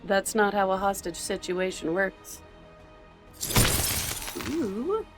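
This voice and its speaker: A smug supervillain played by a woman in an audio drama. She sounds mocking and theatrical, taunting whoever stands against her, at a medium pitch with faint music underneath. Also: expressive, takes it slowly. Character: smug, mocking female supervillain holding hostages.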